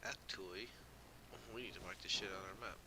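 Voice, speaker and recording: A man's voice: low voice, steady tone, a little fast. A man doing casual live game commentary as a streamer, slangy and profane, with a grumbling, sardonic manner. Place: room at home with an open window. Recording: clearly noisy room recording. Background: background noise.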